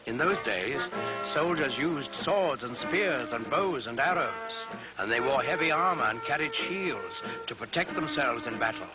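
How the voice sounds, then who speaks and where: A man tells a children's Bible tale with measured, theatrical emphasis. His mid-pitched voice sits in a dull, lo-fi recording, with loud music playing behind him. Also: expressive. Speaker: storyteller. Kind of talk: dramatic scripted children's Bible story narration.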